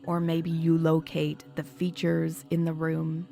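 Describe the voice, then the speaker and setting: A woman hypnotherapist leading a guided meditation in a soothing, measured, instructional manner. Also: sits low, completely flat delivery, gravelly, dark tone. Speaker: hypnotherapist guiding a session. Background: background music.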